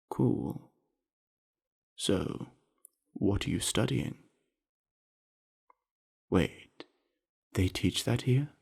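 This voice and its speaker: A man playing a friendly, earnest young orc university jock in an ASMR roleplay, chatting easily in a gravelly, husky voice with musing pauses. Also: on the low side, a little mellow, full character voice. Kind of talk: scripted ASMR roleplay addressed to the listener.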